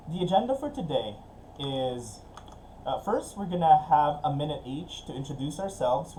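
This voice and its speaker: A man's voice: fairly high pitch, mellow, slight background noise, low-quality recording. Upbeat man hosting a video call. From Philippines. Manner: upbeat, chatty, with laughs and fillers. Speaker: webinar host. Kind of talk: casual online meeting hosting.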